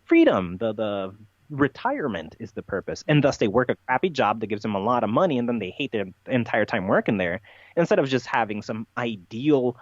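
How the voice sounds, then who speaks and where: A man's voice: mid-range voice, big pitch swings, a little fast, no echo. Emphatic male podcast guest, dull dry recording. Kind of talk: unscripted interview answers, explanatory monologue.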